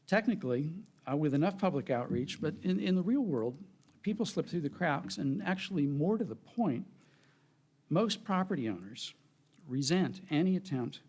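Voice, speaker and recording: Soft-spoken middle-aged man presenting. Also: medium pitch. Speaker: state preservation planner, guest presenter. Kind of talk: prepared presentation. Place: council meeting room.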